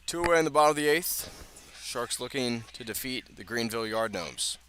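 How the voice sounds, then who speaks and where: A man's voice: mid-range voice, animated, fairly quick, a bit bright. A man with an American accent calling live baseball play-by-play on the radio. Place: outdoors at a ballpark. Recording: outdoor ballpark ambience, clear background noise.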